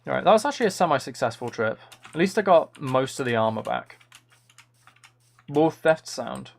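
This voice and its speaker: A man's voice: medium pitch, lively intonation, moderate pace, creaky. A man chatting casually on a gaming livestream in a playful, rambling way. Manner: playful, rambling, trying out game sound impressions. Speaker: livestreamer, author. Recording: faint noise with key clicks. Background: computer keyboard typing and clicking.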